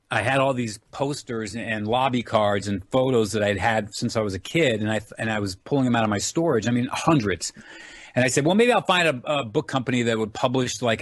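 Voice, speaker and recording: Eager American man chatting over internet call. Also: mid-range voice. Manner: rambling, eager. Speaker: guest, actor and film director. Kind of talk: casual, chatty interview storytelling.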